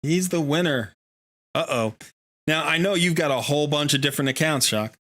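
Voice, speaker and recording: A man's voice: on the high side, fairly quick, fairly bright tone. A man hosting a gaming livestream, chatting casually in a jokey, talkative way. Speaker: livestream host.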